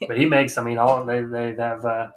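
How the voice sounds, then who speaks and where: A man's voice: medium pitch, level tone, brisk, slight background noise. A man with a stuffed-up nose chatting casually on a home livestream, reading comments with easy, rambling restarts. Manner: easygoing, rambling, frequent restarts. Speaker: excavation contractor streaming live.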